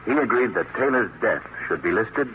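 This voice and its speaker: Guy doing suave radio detective explaining stuff calmly, animated pitch, thin crackly old recording. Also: narrow, tinny audio, lightly acted. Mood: calm, explanatory. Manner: measured, explanatory, urbane. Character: suave, self-assured male gentleman detective. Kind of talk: old-time radio drama acting. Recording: phone-thin vintage radio, faint noise.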